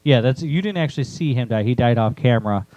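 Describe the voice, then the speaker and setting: A man's voice: medium pitch, expressive, fast talker, warm tone, no room sound, low-quality recording. A man co-hosting a podcast, chatting casually as he discusses a story with others. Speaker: podcast host and author.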